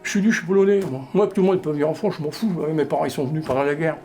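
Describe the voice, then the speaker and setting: A man's voice: a little high, racing, mellow, soft background music. An older man, a village mayor, talking bluntly and plainly in a casual interview. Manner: blunt, plain-spoken, colloquial.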